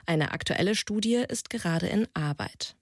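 A woman's voice: lowish voice, animated, normal speed, no room sound, studio quality. A woman narrating a scripted radio report as a reporter.

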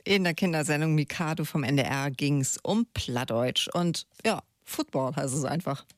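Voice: mid-range voice, moderate pace, no room sound, studio quality. A radio news programme host presenting live.

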